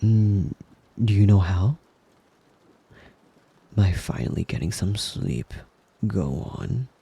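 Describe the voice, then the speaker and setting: A devoted wolf-boy boyfriend, acted by a man, murmurs in a very husky, breathy ASMR voice that often falls to a whisper. He is tender and possessive, lingering slowly over every endearment in a low, soothing bedtime tone. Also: expressive, mellow, heavily acted. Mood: playful, doting. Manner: tender, doting, slow and lingering with pet names. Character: devoted, possessive young male wolf-boy lover, doting.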